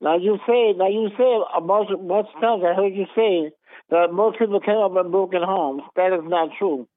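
A man's voice: high-pitched, moderate pace, dry recording. A man in his fifties with a Southern US accent, calling into a radio show and arguing insistently, repeating himself. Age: 50s. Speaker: caller. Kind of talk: call-in radio talk. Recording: phone line.

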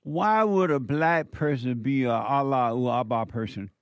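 A man's voice: medium pitch, moderate pace, smooth, dry recording. A man hosting a call-in talk radio show, talking in a brash, joking way and laughing often.

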